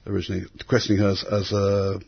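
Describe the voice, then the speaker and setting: Man in his 60s, low husky voice, halting and reflective; dull lo-fi sound. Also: completely flat delivery. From England. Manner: hesitant, many restarts and fillers. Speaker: interviewee. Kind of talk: reflective conversational storytelling. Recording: dull lo-fi recording.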